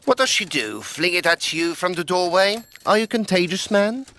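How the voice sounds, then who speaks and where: A man's voice: sits high, lively intonation, moderate pace, pushed and bright. A man playing a dry, teasing, sceptical Edwardian estate agent in a tongue-in-cheek audio drama, with formal wording.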